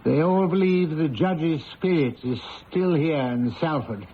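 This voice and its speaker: An actor playing a polite, cautious village house agent, speaking in a courteous, hesitant, foreboding way in an old-time radio drama. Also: male voice, fairly high pitch, unhurried. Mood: uneasy, ominous. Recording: dull, noisy old radio recording.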